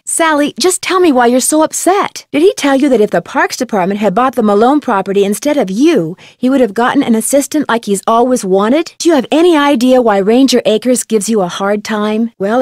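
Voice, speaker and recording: A woman playing a curious, polite young female detective in a video game, asking questions in a clear, inquisitive manner. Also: on the high side, dry recording, studio quality, natural voice. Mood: casual, questioning. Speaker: game protagonist. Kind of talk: scripted video game dialogue.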